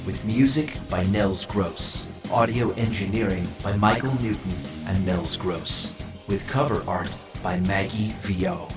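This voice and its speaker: A man reads scripted credits at a slow pace in a lowish voice. He sounds slightly off-mic in a roomy space. Guitar music plays clearly behind him. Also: distant mic. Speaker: announcer.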